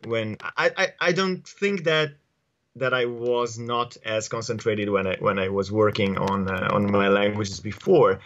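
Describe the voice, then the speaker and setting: An Italian-accented man in his forties talks over a video call from his home study, his voice fairly low. He pauses and restarts often, filling gaps with ums. The audio is dull and lo-fi. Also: steady tone, a bit bright. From Italy. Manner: halting, many restarts and fillers. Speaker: guest. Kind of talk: casual interview answers. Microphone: earphone headset mic on video call.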